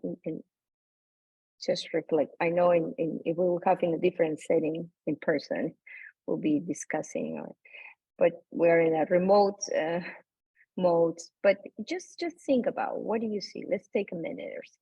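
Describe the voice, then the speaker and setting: A woman from Peru with a Peruvian accent, presenting as an academic researcher in an unscripted, halting way over a remote video call. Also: lowish voice, creaky, dark tone, slightly rough, no room sound. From Lima, Peru. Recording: dull lo-fi video call audio.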